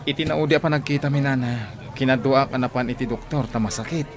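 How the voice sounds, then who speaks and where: A man's voice: mid-range voice, fairly even intonation, fairly quick, crowd noise, lightly acted. A man acting a polite local man with worrying news in a Filipino audio drama. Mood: concerned.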